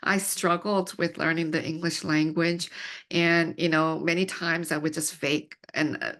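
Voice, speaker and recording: A woman's voice: low-pitched, little pitch movement, measured pace, fairly bright tone. A middle-aged woman who grew up in Canada, speaking reflectively and conversationally as a panel guest. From Canada, immigrated at age five. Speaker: panel guest, scholar and writer.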